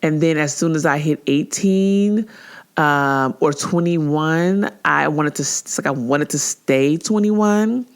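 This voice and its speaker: A woman in her forties talks through her own podcast in a loose, casual monologue. She is chatty and animated, laughs easily and often restarts her sentences. When she acts out what someone said, her voice jumps far higher. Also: slow pace, smooth. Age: 40s. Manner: laughs easily, with frequent filler words and restarts. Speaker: podcast host.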